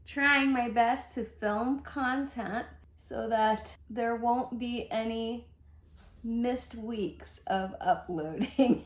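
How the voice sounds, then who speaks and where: A woman's voice: mid-range voice, creaky. A middle-aged woman with a congested voice, chatting casually through a makeup tutorial. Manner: chatty, upbeat, thinking aloud while applying makeup. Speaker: beauty vlogger. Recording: echoey room.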